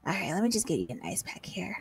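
A woman's voice: fairly low pitch, huge pitch swings, quick pace, vocal fry, noisy background. A woman playing a friendly, teasing medic in a game roleplay, sometimes dropping to a whisper. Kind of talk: in-character roleplay chat.

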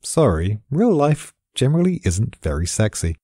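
Mellow, lively British man narrating. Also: mid-range voice, normal speed, dry recording, studio quality. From Britain. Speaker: expert narrator. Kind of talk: scripted explainer narration.

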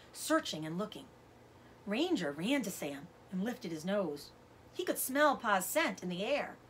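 Middle aged lady reading a kids chapter book out loud, very up and down voice, bit of hiss in the room. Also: mid-range voice. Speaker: teacher reading a book aloud. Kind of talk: expressive read-aloud narration of a children's novel. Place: indoors, room with bookshelves.

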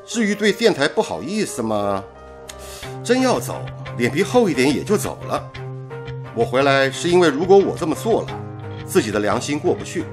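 Radio drama: actor as a steady, earnest young engineer, lively higher voice, faint music. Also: male voice, normal speed, lightly acted. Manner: earnest, steady, gently persuasive. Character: earnest dedicated male radio engineer, young adult, patriotic and calm. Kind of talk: 1930s-set radio drama acting.

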